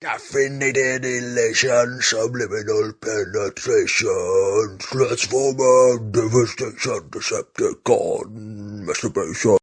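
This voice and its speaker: A man putting on a solemn, grandiose narrator for absurd comedy, with a husky voice and deliberate, theatrical delivery. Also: fairly low pitch. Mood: solemn, mock-serious.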